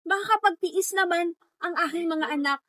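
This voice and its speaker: Very high-voiced, long-suffering animal parent woman acting. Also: normal speed, bright, forceful tone, no room sound, studio quality. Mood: resigned. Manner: plaintive, earnest. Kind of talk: reader's theatre monologue.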